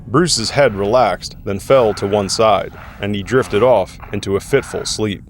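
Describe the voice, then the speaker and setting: A man's voice: fairly low pitch, a little slow, slight background noise. A man serving as narrator, reading scripted dramatic story narration.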